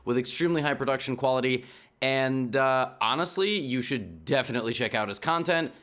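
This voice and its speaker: A man hosting a podcast, relaxed and casual, often stumbling into restarts and fillers. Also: medium pitch, a little fast. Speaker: podcast host.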